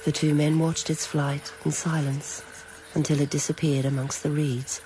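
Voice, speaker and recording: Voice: medium pitch, level tone, moderate pace. A narrator reading literary, scripted prose for a radio drama. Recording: noisy old radio recording.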